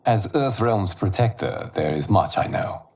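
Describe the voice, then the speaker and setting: An actor playing a calm, commanding male protector god in a game cutscene. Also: fairly low pitch. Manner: measured, commanding. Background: orchestral game music.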